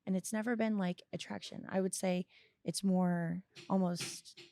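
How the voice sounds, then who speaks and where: A young woman in her early twenties with a lowish, crackly voice talks candidly in an interview. She is reflective and halting, reworking her sentences as she goes. The recording is close and dry. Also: steady tone, mellow, no echo. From United States, grew up partly in Florida and abroad. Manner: halting, reflective, self-correcting. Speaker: podcast guest. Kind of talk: candid conversational interview answers. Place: small indoor lounge, on a leather couch. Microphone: close boom-arm podcast microphone with headphones.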